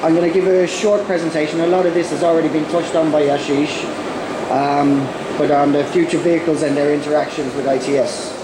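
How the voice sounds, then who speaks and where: Brief: Irish man with a cold presenting at a conference, slightly high voice, roomy hall with noise behind. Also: steady tone. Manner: halting, many ums and restarts. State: has a cold. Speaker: conference speaker. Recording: echoey hall with background noise.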